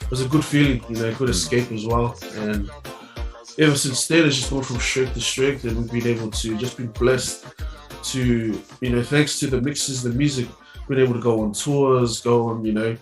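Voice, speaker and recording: A man's voice: mid-range voice, fairly even intonation, moderate pace, reverberant, distant mic, faint music behind. A man from New Zealand, a DJ and producer, chatting casually in an interview, laid-back with frequent laughs and fillers. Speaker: DJ and music producer.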